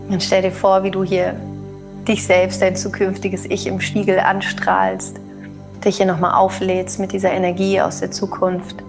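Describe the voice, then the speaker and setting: A woman's voice: mid-range voice, monotone, normal speed. A woman working as a spiritual coach, speaking a guided meditation for podcast listeners. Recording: new-age music under the voice.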